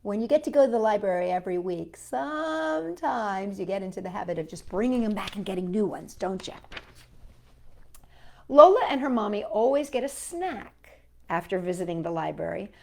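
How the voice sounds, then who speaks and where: A woman's voice: mid-range voice, lively intonation, moderate pace, vocal fry. A woman hosting a children's storytime, reading a picture book expressively and chatting to young listeners in asides.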